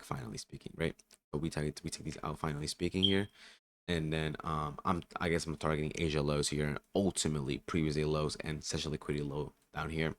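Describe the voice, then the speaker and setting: A man who is a bit sick and raspy, casually thinking aloud through a trading session with many fillers and restarts. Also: rumbling low, a bit bright. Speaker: day trader streaming his session. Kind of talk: casual market commentary.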